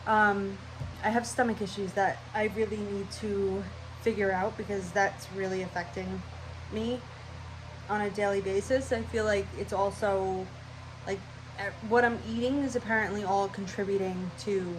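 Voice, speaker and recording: A woman's voice: medium pitch, fairly even intonation, normal speed, noisy background. A young woman with a stuffy, nasal voice, rambling casually through her channel intro at home. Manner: scatterbrained, rambling, chatty. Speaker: vlogger.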